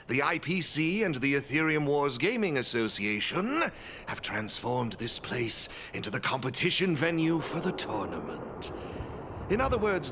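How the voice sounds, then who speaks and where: An actor playing a flamboyant masked gentleman in a video game, formal, courteous and theatrical, like a showman. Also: mid-range voice, background noise. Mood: grand. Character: flamboyant masked gentleman, smooth event sponsor and gaming enthusiast. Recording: background music throughout.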